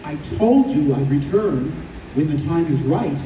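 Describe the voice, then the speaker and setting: Man as dark-toned, calm escort of souls. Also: fairly high pitch, a little slow. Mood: knowing, warm. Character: calm, knowing male escort of departed souls. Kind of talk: old-time radio play acting. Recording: roomy live stage recording, distant, faint noise.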